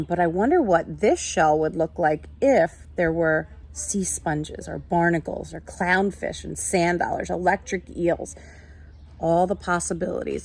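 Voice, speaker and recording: A woman teacher reading a picture book aloud in an animated way, talking to children. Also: lowish voice, husky. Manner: animated, addressing children with questions.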